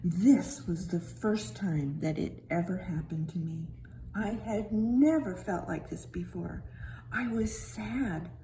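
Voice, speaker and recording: A small talking stone played by a woman narrates a children's storybook in a slow, wide-eyed way. The delivery is dramatic and tender, leaning on hushed words and repeated emphasis, with noticeable noise behind the voice. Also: fairly low pitch, rough recording. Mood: sad.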